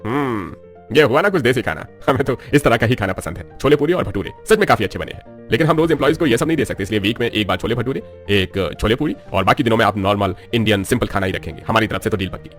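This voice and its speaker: A man playing a measured, discerning company owner in a story, speaking formally. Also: on the low side, expressive, faint music behind, close to an everyday voice. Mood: approving.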